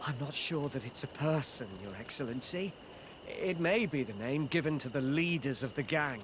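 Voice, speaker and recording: A man's voice: fairly high pitch, lively intonation, moderate pace, fairly bright tone, slight background noise. An actor playing a nervous, frightened male informant who stammers and pleads, in a video game. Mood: apologetic.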